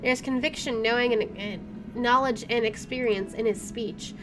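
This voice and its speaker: Higher-pitched woman reading a game aloud. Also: steady tone, moderate pace, low-quality recording. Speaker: gaming video host. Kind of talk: let's play, reading narration aloud with casual asides. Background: game music.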